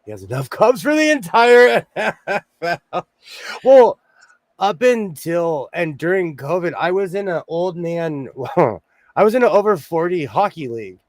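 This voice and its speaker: A man in his forties hosting a casual sewing livestream, hoarse and losing his voice, joking and laughing often as he reads the chat. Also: high voice, lively intonation, measured pace, no room sound.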